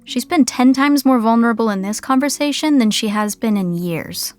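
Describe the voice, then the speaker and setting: A woman plays the storyteller of an audio sitcom. Her voice is fairly high, with faint music behind it. The scripted narration is wry and conversational. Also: normal speed, lightly acted.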